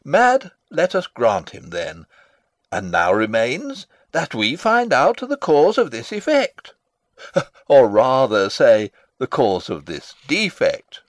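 Pompous old counsellor, wildly swooping delivery. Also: male voice, medium pitch, dry recording. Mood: pompous, rambling. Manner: rambling, self-satisfied, theatrical. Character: pompous, long-winded old male royal counsellor and father. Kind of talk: classical Shakespearean stage acting, dramatic reading.

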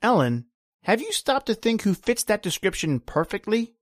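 A man playing a wistful, gentle husband. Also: high voice, very animated, moderate pace, no echo, studio quality. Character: gentle, hopeful middle-aged husband. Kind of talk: radio drama acting.

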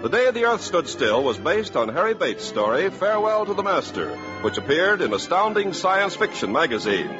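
An American man announces in 1950s radio style, his fairly high voice rising and falling widely. The delivery is scripted and emphatic, on a dull old broadcast recording. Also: very animated, normal speed, few pauses, over music.

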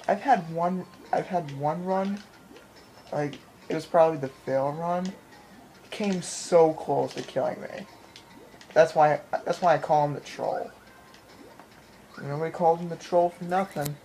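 Rambling male gamer over clear background noise. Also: a little high, measured pace, long pauses, mellow. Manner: rambling, repeats phrases. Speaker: gamer recording his playthrough. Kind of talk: casual gameplay commentary. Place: indoor room in front of a TV. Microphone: handheld camera or phone filming the screen. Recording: noisy handheld camera recording in a room.

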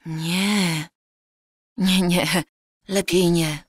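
A young modern wife in a radio play. She speaks slowly and fairly low, thoughtful and cautious, in a dry studio. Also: steady tone, husky, dry recording, full character voice. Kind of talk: audio drama dialogue.

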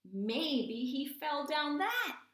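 A woman puts on a sad storybook cat. It wails plaintively and slowly in a lifted voice, full of worry. The room is a little echoey. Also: high voice, sing-song, a bit bright, pitched above the performer's own voice, heavily acted. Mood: wailing. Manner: wailing, plaintive. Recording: roomy indoor echo.

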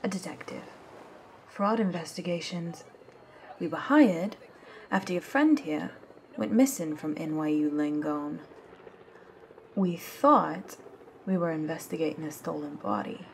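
A sardonic woman detective played by an actress in an ASMR audio drama. Her voice is low and unhurried, confrontational and suspicious, over faint ambience. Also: creaky, a little hiss or hum. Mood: sardonic, accusing. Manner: confrontational, sarcastic.

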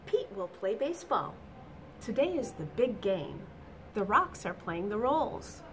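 A middle-aged woman reading a picture book aloud to children, expressive and deliberate, with emphatic exclamations, in a small room. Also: mid-range voice, slight background noise. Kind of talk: animated read-aloud storytelling for children. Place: small indoor office or classroom.

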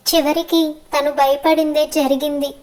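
A woman's voice: high-pitched, level tone, normal speed, a little mellow, slight background noise, natural voice. A woman playing a worried, chiding mother of a grown daughter in a web series drama, fretful and reproving.

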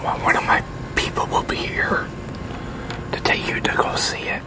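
A man in his sixties from the United States whispering a hesitant ASMR roleplay as a leasing agent. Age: 60s. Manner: hesitant, halting. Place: outdoor covered picnic pavilion with metal tables.

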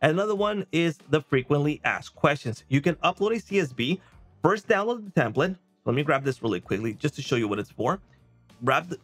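A man in his thirties hosting a casual software walkthrough, conversational, often checking in with a quick okay or right. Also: mid-range voice. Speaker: software tutorial host. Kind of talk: casual screen-share walkthrough. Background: music.

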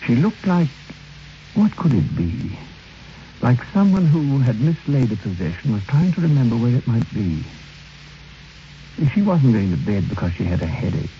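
An actor playing a reflective, haunted man who narrates his own tale in a measured, literary, solemn radio drama style. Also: mid-range voice, sing-song, lightly acted. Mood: pensive. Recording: noisy, dull old radio recording.

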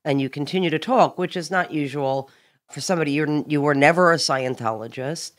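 A woman's voice: low-pitched, a little fast. A woman co-hosting a podcast, who laughs often and encourages her guest. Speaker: podcast co-host.

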